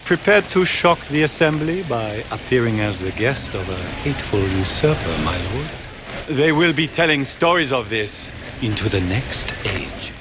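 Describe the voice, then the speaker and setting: A man's voice: medium pitch, sing-song, normal speed, music in the background, lightly acted. An actor playing a proud, scheming middle-aged nobleman in a video game, with a grandiose, courtly manner. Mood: courtly, flattering. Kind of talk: video game dramatic acting.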